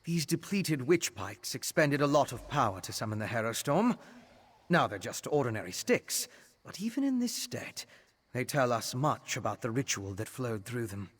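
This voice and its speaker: Game role: curious male scholar of magic. Mid-pitched, slightly rough-edged voice, articulate and composed delivery. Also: sing-song, normal speed. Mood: earnest, studious. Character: learned male scholar investigating arcane rituals, curious, composed. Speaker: game character. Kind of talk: video game voice acting, explanatory dialogue.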